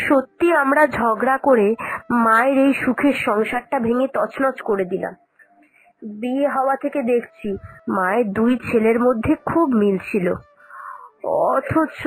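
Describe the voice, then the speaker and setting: Casting: woman as earnest elder daughter-in-law in a domestic cartoon, high voice, emotive, soft-hearted delivery. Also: husky, poor audio. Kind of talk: Bengali cartoon dialogue acting.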